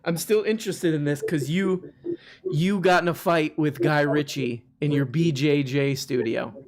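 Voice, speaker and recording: A man's voice: a little high, fairly even intonation, a little slow. A man hosting a live call-in stream, chatting casually with callers and joking with mock outrage. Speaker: live show host taking callers.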